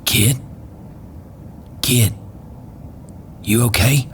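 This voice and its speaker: An actor playing a rough but caring man with a casual, hesitant way of talking in an audio drama. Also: mid-range voice, drawn-out words, background noise. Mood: coaxing, concerned.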